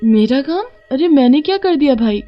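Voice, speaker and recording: A woman's voice: high voice, expressive, normal speed, dull audio, soft background music, pitched above the performer's own voice. A woman voicing a sly village woman in a cartoon story, drawling and put-upon as she feigns innocence. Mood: feigned innocence.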